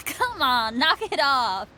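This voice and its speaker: A woman's voice: sits high, big pitch swings, slow pace, pushed and bright, slight background noise, full character voice. A woman playing an easygoing, confident young rock performer in a video game, speaking in a breezy, informal way. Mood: amused. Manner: breezy, informal, laughs easily. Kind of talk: English video game voice acting.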